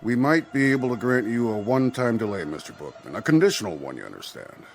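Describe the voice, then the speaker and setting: An actor playing Death as a polite, exacting bureaucrat in a radio drama, speaking in a formal, measured way. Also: male voice, medium pitch, sing-song. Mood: cautious, officious.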